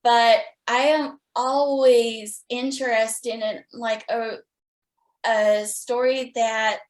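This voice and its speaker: A woman's voice: on the high side, flat delivery, very slow. A woman hosting a podcast interview in casual, opinionated and emphatic conversation.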